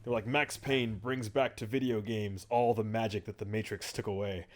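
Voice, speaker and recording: A man co-hosting a podcast in a casual chat, animated as he steers the discussion. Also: lowish voice, normal speed. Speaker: podcast co-host.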